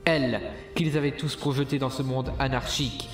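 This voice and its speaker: A man narrating a dark fantasy audio drama as a solemn storyteller, reading from a script. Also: mid-range voice, steady tone, moderate pace, echoey room, faint music behind, natural voice.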